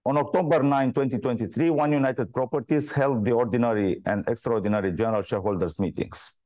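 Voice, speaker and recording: Exec guy reading out quarterly results, soft dark voice, formal and measured, very dry sound. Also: mid-range voice, no room sound. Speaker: company executive presenting financial results. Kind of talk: scripted earnings call presentation.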